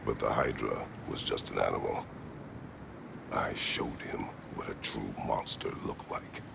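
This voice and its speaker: A man voicing a grave, terse middle-aged warrior haunted by past cruelty, with a deep, gravelly, breathy rumble, in a video game. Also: fairly even intonation, full character voice. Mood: grave, remorseful. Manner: terse, solemn, measured. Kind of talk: dramatic video game voice acting.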